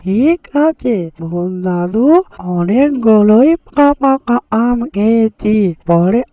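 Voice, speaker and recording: A woman voicing a meek, pleading mother bird in a cartoon, with a drawn-out theatrical delivery. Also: a little high, lively intonation, warm tone, no echo, faint music behind. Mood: apologetic. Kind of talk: slow, theatrical cartoon voice acting.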